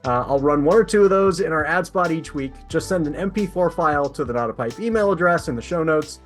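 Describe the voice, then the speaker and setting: A man's voice: fairly high pitch, lively intonation, a little fast, soft background music. A man hosting a podcast, talking in a casual, chatty way with guests. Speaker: podcast host interviewing an author. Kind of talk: casual chat.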